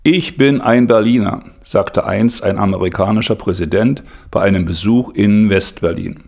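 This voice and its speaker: A man narrating a documentary report in a measured, formal way. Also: lowish voice, vocal fry, a little mellow. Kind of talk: scripted narration.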